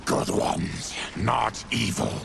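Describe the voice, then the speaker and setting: An actor playing a noble, solemn mutant warrior in a video game, gravelly and terse. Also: male voice, on the low side, slow pace, noisy background, full character voice. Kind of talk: video game cutscene acting. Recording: music behind the voice.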